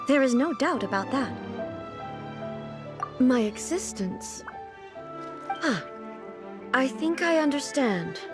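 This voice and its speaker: A woman playing a dignified, earnest ruling deity in a video game, speaking in formal, deliberate, thoughtful phrases. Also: high-pitched, lively intonation. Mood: reflective, resolute. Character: dignified, earnest female ruling deity, naive about everyday things. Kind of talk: video game character acting. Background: music.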